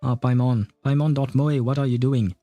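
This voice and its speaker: A man's voice: mid-range voice, fairly even intonation, normal speed, mellow, no echo, soft background music. A man livestreaming a video game, chatting to viewers in an informal, wry way. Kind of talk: casual live gaming commentary, chatting with viewers.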